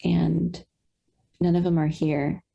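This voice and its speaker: A young woman speaks on a video call with a low-set, gravelly voice. Her tone is dark and husky, and she talks in a halting, reflective way full of small fillers. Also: flat delivery, dull audio. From Colombian roots. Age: young adult. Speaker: satsang participant. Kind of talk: casual sharing on a video call.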